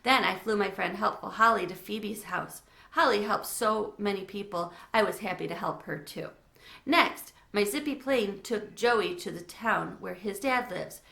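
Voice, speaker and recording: A woman's voice: medium pitch, unhurried, creaky, sharp tone. A woman reading a children's storybook aloud as narrator. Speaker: read-aloud reader. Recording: echoey room.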